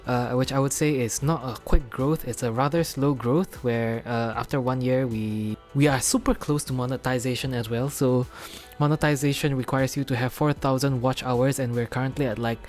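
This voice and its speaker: A man's voice: mid-range voice, fairly even intonation, normal speed, few pauses, darkish tone, faint music behind. A man from Indonesia with a mixed Indonesian-Singaporean accent, co-hosting an unscripted podcast chat in a loose, joking way with lots of fillers. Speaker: podcast co-host.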